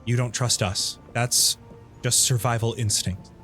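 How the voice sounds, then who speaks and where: A man's voice: medium pitch, fairly even intonation, measured pace, pushed and bright, faint music behind. A man playing a guarded, self-reliant wounded traveler in a tabletop game, curt and defensive.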